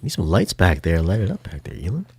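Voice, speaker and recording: A man hosting a casual review chat, playful and teasing, with a husky voice. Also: low voice, sing-song, fast talker, darkish tone. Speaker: video review host.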